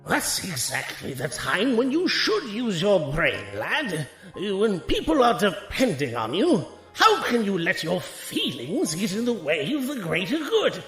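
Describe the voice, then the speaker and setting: Jovial old professor, wildly swooping voice. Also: male voice, high-pitched, wildly swooping pitch, a little slow, a bit bright, full character voice. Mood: jovial, persuasive. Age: older. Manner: folksy, avuncular. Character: jovial old male professor, folksy teasing mentor. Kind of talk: audio drama acting. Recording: roomy, echoing sound with faint music.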